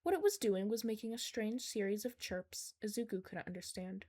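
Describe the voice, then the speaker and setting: A woman reads a piece of fan fiction aloud. Her voice sits at an average pitch for a woman, and she delivers it as scripted narration. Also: expressive, normal speed, warm tone.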